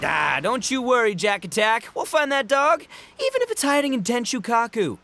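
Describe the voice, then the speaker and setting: A man's voice: very high voice, big pitch swings, moderate pace, gravelly, background noise, full character voice. A man voicing a boisterous, boastful young oni gang boss and goofy rocker in a video game, bombastic and slangy. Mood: excited. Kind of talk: animated video game voice acting.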